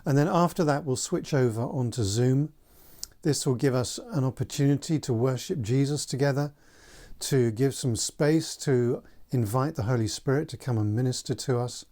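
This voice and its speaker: A man leads a church gathering in a measured, friendly tone. His voice sits in an ordinary middle pitch, and he speaks calmly and unhurriedly. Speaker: church leader, interviewer. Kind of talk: church welcome and interview.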